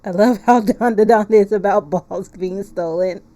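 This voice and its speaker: A woman's voice: medium pitch, a little slow, mellow. A woman streaming a game, chatting casually and playfully as she reacts aloud. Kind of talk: casual live-stream chat while playing a visual novel.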